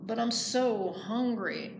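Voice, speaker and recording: A woman's voice: fairly high pitch, expressive, slow pace, gravelly, echoey room, noisy background, full character voice. Woman reading as a needy, plaintive storybook bear. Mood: pleading. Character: needy, hungry bear who wants a friend.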